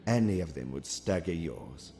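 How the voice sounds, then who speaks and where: Very deep, calculating alien strategist. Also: male voice, expressive, mellow, soft background music. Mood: calm, calculating. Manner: formal, measured, precise wording. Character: calm, cerebral male alien military cadet and strategist. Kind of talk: scripted audio comic acting.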